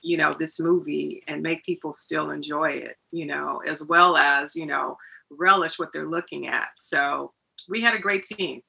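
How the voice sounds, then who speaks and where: A woman's voice: sits low, steady tone, a little slow, vocal fry, pushed and bright, breathy edge, low-quality recording. A woman answering conversationally as a hair and makeup artist being interviewed about film work.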